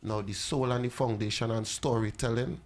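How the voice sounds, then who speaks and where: A man from Trinidad with a Trinidadian accent, a musician guest in casual interview chat, telling animated stories with Caribbean slang and easy laughs. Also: mid-range voice, normal speed, soft background music.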